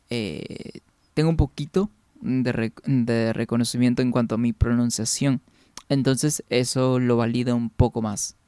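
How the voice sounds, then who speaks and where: A young man from Peru chatting loosely with his stream viewers, drifting from point to point and answering their comments. His voice is smooth and clean, sitting in the middle of the male range. The sound is close and dry, with no room to it. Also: medium pitch, darkish tone, dry recording. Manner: rambling, reads viewer comments aloud and answers them. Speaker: game streamer. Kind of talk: casual chat with viewers during a game stream.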